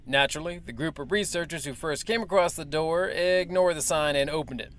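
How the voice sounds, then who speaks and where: An actor playing a male military major who briefs the press in a formal, measured and evasive way. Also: a little high, a bit bright, natural voice. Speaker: official spokesman. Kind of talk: audio drama acting.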